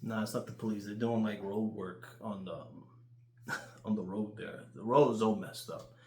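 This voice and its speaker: A man with a New York accent telling casual, rambling stories in an animated, crude way, swearing freely. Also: fairly low pitch, a little slow, roomy sound. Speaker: YouTuber.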